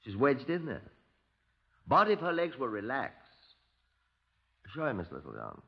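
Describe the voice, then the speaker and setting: An actor playing a brisk, bossy pathologist who lectures with hesitant uh's, in the style of 1950s radio drama. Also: male voice, mid-range voice, huge pitch swings, creaky, slightly rough, full character voice. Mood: bossy, instructive. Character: brisk, fussy male pathologist demonstrating to police. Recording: muffled 1950s radio recording.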